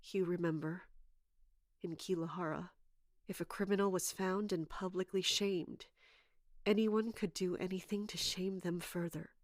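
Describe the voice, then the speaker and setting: A woman playing a wounded, caring but guarded royal guard captain in an audio drama, speaking earnestly and haltingly. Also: on the low side, fairly even intonation, dry recording, clean studio sound, lightly acted. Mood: pained, reluctant. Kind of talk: dramatic audio fiction acting.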